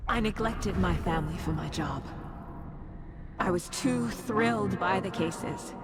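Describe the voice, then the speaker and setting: A woman's voice: mid-range voice, measured pace, gravelly, heavy background noise. An actress playing an anguished, despairing woman investigator in a video game, blaming herself in short, weighted phrases. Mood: bitter self-blame. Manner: bitter self-blame in short, weighted phrases. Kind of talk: dramatic video game acting.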